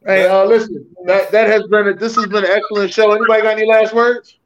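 High-voiced man hosting a lo-fi sports chat. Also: level tone, fast. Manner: playful, teasing, hypes people up. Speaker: podcast host. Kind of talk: casual sports talk. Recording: dull lo-fi sound.

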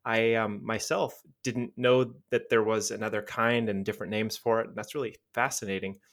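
A man's voice: lowish voice, flat delivery, moderate pace. A man hosting a podcast, interviewing a guest in an upbeat, effusive way. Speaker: podcast host.

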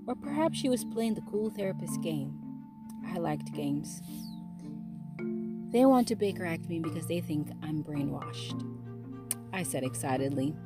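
A low-pitched woman hosts her own audio blog, talking casually before settling into a steady reading of her own short story. Her voice sits deep in her range throughout. Music plays clearly behind her. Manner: casual, relaxed intro, then steady storytelling. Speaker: podcast host and author.

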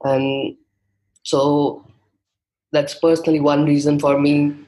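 Man from India, flat delivery, fillers and restarts, interview guest. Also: a little high, takes it slowly, low-quality recording. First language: Hindi. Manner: thoughtful, with frequent fillers and restarts. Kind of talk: conversational interview.

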